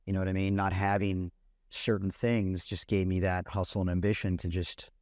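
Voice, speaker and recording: Low-voiced man in a casual interview. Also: normal speed, no room sound, clean studio sound. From Puerto Rican family, raised in California. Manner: relaxed, frequent "you know" and "man". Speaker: guest, celebrity content creator.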